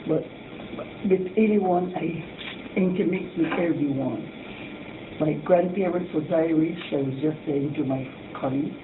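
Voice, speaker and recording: An older man, speaking proudly and warmly in a group discussion. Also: on the high side, unhurried. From Barbados. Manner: proud and warm-hearted. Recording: tinny, roomy recording with clear hiss.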